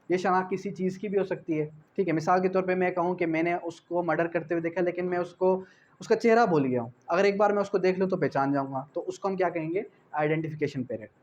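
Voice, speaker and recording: High-pitched Pakistani man lecturing. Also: a little mellow, highs cut off. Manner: didactic, repeats key phrases and asks rhetorical questions. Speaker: law tutor. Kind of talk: exam-prep lecture mixing Urdu with English legal terms.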